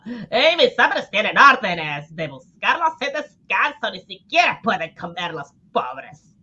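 A woman's voice: medium pitch, sing-song, slow, pushed and bright, slightly rough, lo-fi sound, heavily acted. A woman playing an impatient, scolding male police colonel in an amateur comic-book radio drama. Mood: blustering. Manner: exclamatory, scolding, theatrical.

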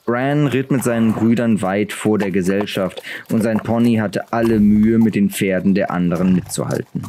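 Low-pitched man narrating an audiobook. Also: normal speed, dark tone, slight background noise. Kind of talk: scripted fantasy audiobook narration.

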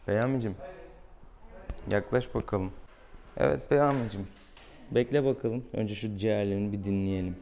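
Low, mellow man, playfully affectionate. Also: normal speed, long pauses. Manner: affectionate, teasing, sweet-talking the animal. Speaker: veterinarian presenting a clinic video. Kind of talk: casual playful chat with a pet and viewers. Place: small indoor veterinary exam room. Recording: clear room noise behind.

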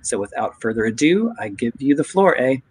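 A man's voice: medium pitch, very animated, a little fast, husky. A man hosting an online poetry reading over a video call, introducing the next reader in a friendly, upbeat way. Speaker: event host.

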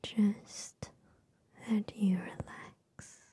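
A young woman giving soothing ASMR affirmations in a soft, breathy whisper, gentle and repetitive, very close to the microphone. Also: mid-range voice, little pitch movement, extremely slow. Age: young adult. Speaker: ASMR host. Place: small bedroom with gaming setup, indoors. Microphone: large microphone very close to the mouth.

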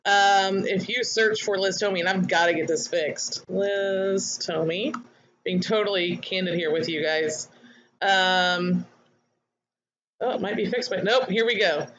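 A woman's voice: medium pitch, takes it slowly, a bit bright, muffled recording, slight background noise. A woman coaching online marketers in a webinar, chatty and candid as she reads out attendees' comments. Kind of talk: webinar teaching.

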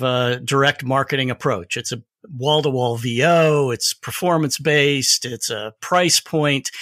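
A man's voice: mid-range voice, bright, forceful tone, no echo, studio quality. A man talking casually as a guest in a podcast conversation.

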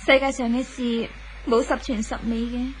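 An actress playing a gentle, hopeful young woman in love in a 1970s radio drama, reassuring, with a Hong Kong Cantonese accent. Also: on the high side, flat delivery, moderate pace, slight background noise, natural voice, low-quality recording. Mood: loving, admiring. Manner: soft-hearted, reassuring.

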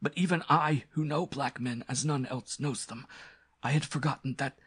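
A man's voice: mid-range voice, normal speed, a bit bright, husky, pitched above the performer's own voice. A man voicing a desperate, pleading villain priest in an audiobook adventure story, with a breathless, strained delivery. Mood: gasping, desperate. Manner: urgent, pleading, boastful.

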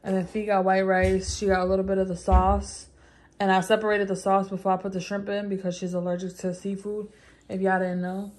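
A woman's voice: medium pitch, monotone, a little fast, darkish tone. A young woman vlogging casually to camera in an informal, slangy, rambling way. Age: young adult. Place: parked car, then home kitchen. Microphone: phone held at arm's length.

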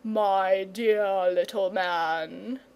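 Storybook dragon, lazy and timid, slow drawl, lower and hazier than the narrator, as a woman puts him on. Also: darkish tone. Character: lazy, languid old male dragon, gentle and timid.

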